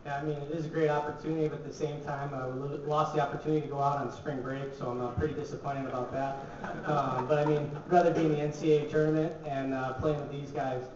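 A man's voice: on the high side, level tone, fast talker, few pauses, a crowd in the background. A young man, a college hockey player, answering reporters casually with many fillers at a press conference table. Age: young adult. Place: large echoey press room. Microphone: table mic, from a distance. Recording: echoey, distant, dull, noisy.

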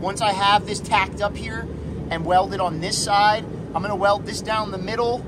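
A man's voice: high voice, steady tone, normal speed, background noise. A young man giving casual, blunt how-to commentary while welding, swearing freely as he explains his work. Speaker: welder vlogger. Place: garage workshop and truck cab, in winter.

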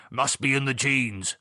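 A man's voice: medium pitch, takes it slowly, sharp tone, no echo, studio quality. A man voicing a hearty older upper-class English society gent in an audiobook, with clipped, jovial phrasing. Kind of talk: audiobook character voice.

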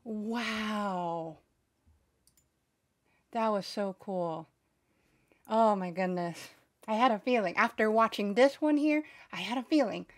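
A young adult woman hosting a reaction video in casual chat, recorded in a small home streaming room. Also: lowish voice.

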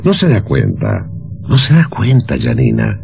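Tactful businessman, very low creaky voice, avuncular, radio drama, faint music. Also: huge pitch swings, unhurried, a little mellow, heavily acted. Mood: confiding. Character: shrewd, tactful businessman, male impresario of a musician. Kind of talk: old radio drama acting.

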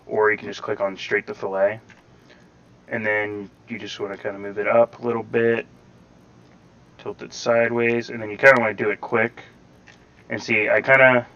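A man's voice: fairly low pitch, pushed and bright, dull audio. A man giving casual gameplay tutorial commentary, rambling step by step with some hedging, his voice sounding slightly robotic over the recording. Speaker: gaming tutorial host. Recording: slightly robotic processing, faint background noise.

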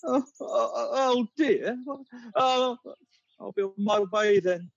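Man playing a slow, doddering old professor. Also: extremely high pitch, vocal fry, no echo, dull audio, exaggerated voice. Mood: bumbling. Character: doddering, good-natured old eccentric professor and inventor. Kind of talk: radio pantomime acting.

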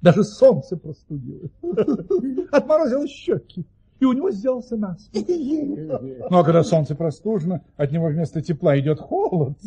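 A genial baron in a radio play. His voice is high for a man, husky and very lively. He savours each tall tale with theatrical pauses. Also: exaggerated voice. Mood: excited, playful. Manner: savouring storyteller with dramatic pauses. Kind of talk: audio play storytelling.

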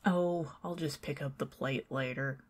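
A young woman with a Southern US accent doing an ASMR roleplay as a chatty neighbor, rambling between soft talk and whispers with nervous giggles. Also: extremely low pitch, a little slow, breathy edge. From Southern United States. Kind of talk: ASMR roleplay, talking to the listener as a friendly neighbor.

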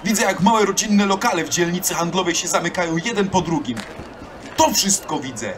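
A man's voice: high-pitched, animated, a little fast, background noise. An actor playing a bitter, argumentative young townsman in an audio drama. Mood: indignant. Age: young adult.

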